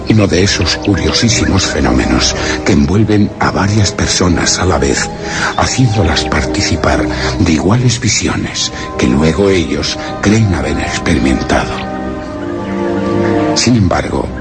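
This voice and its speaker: Male narrator, extremely low voice, grave measured storytelling for horror radio theatre, loud music bed. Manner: solemn, measured, literary delivery. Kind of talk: dramatic scripted first-person suspense narration.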